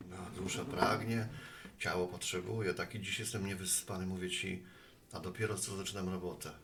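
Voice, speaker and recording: A man's voice: low-pitched, flat delivery, moderate pace, a bit bright, a little hiss or hum. An actor playing a talkative, friendly postman with earnest opinions, chatting at a bar in an audio drama. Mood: sleepy. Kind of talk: Polish audio drama acting.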